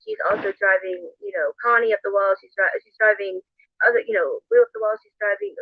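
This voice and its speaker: Tinny, high-pitched man talking fast. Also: dry recording. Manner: complaining, hesitant repetition. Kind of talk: casual livestream rant. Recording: tinny phone-like played stream audio.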